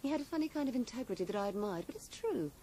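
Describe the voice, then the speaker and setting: A woman's voice: a little high, lively intonation, racing, mellow, a little hiss or hum, natural voice. An actress playing a cool, guarded woman in a radio drama, composed, formal and matter-of-fact. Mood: guarded, frank.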